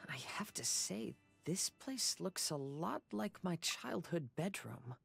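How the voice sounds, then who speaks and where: A woman voicing a calm young male wandering samurai in a video game, with a husky, creaky voice and reflective manner. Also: lowish voice, slow pace, dry recording, faint music behind, full character voice. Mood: calm, pensive.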